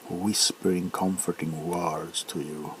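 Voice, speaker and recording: Low, slow-talking man in ASMR chat. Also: level tone, noisy background. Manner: halting, unhurried, hesitant. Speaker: ASMR video creator.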